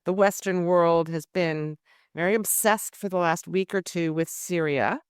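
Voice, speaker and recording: Female podcast host, middle-aged, slightly low voice, deliberate and emphatic, close dry sound. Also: smooth, no room sound. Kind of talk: scripted introduction and formal interview questions.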